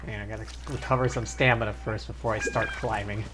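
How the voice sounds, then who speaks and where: A man's voice: lowish voice, fairly even intonation, quick pace, noisy background. A man chatting casually as he gives live commentary on a video game stream. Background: game music.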